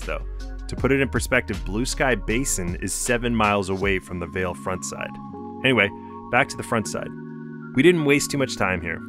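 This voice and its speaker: A young American man narrating his ski trip vlog in an upbeat, informative voice-over. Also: lowish voice. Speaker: vlogger. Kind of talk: scripted travel narration. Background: light background music.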